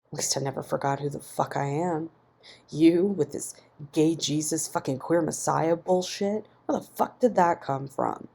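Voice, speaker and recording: A man's voice: a little high, normal speed, gravelly. A woman voicing an angry, resentful, foul-mouthed man who snaps and swears in a narrated story. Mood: scornful. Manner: snapping, profane, forceful.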